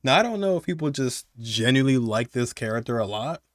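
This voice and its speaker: A man's voice: medium pitch, lively intonation, brisk, no echo, studio quality. A man giving casual, slangy, conversational commentary as host of a video game countdown. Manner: slangy, conversational, chuckling asides.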